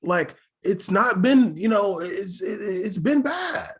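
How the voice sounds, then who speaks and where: A man with a notably high voice co-hosts a casual sports podcast. He argues his case with stacked questions and stammered restarts, dropping street slang as he goes. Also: expressive, takes it slowly, fairly bright tone. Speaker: podcast co-host.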